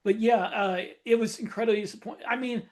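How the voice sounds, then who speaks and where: A man's voice: high-pitched, fairly even intonation, fast, darkish tone. A man co-hosting a podcast, opinionated and rambling, often searching for words. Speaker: podcast co-host. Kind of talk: casual podcast discussion.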